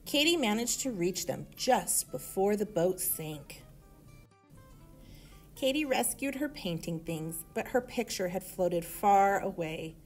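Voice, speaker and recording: Woman storybook reader: expressive, animated, medium pitch, for children's read-aloud. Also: slow, soft background music.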